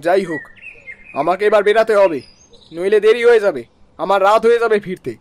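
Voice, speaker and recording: A man's voice: high-pitched, brisk, lightly acted. A man voicing a haughty, scornful young son-in-law in a cartoon, with a sneering, condescending manner. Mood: sneering displeasure. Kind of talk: Bengali cartoon voice acting.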